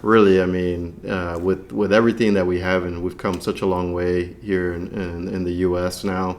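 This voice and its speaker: Male co-host from Texas, deep-pitched, casual and rambling, chatting about films. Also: level tone, moderate pace, darkish tone. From San Antonio, Texas, United States. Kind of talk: casual movie review discussion.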